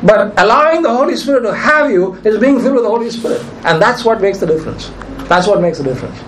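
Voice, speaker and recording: Male preacher, high-pitched, nearly hoarse, emphatic, repetitive, faint noise behind. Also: lively intonation, fast talker, fairly bright tone. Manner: emphatic preaching, repeats key phrases. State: nearly lost his voice. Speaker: pastor. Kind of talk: sermon.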